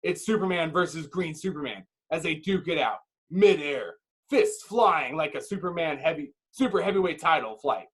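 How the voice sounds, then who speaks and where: Higher-voiced man narrating dramatically. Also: lively intonation. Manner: emphatic, clipped phrases with dramatic pauses. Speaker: narrator reading stage directions.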